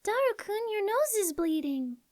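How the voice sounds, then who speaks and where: A woman's voice: very high voice, big pitch swings, moderate pace, a little mellow, no room sound, studio quality. Tiny-voiced, innocent sweet girl. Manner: cutesy, naive, guileless. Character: innocent, sweet, childlike teenage girl, speaks in third person. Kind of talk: visual novel fan dub acting.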